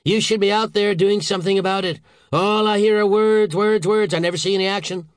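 A man's voice: sits high, animated, fast talker, no room sound, voice pushed up. A man voicing a furious, blustering older government official in an audiobook, howling and hectoring with theatrical indignation. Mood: exasperated, indignant. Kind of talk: audiobook character voice.

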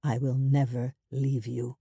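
A woman voicing a tender, steadfast man in love in an audiobook, quietly reassuring and earnest. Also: very deep voice, little pitch movement, measured pace, breathy edge, dry recording, studio quality, full character voice. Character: tender, steadfast young man, devoted lover.

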